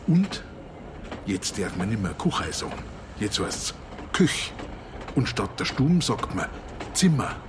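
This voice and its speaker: An old peasant grandfather speaks in a homely Bavarian dialect. He is kindly and deliberate, in a radio play recording with noticeable noisy ambience behind him. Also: on the low side, creaky. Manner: homely dialect speech, unhurried.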